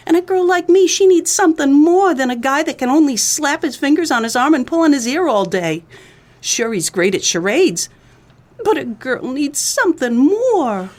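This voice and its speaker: A woman's voice: high voice, darkish tone. A woman playing a dim-witted, doting secretary to a 1940s detective in a comedic noir radio play.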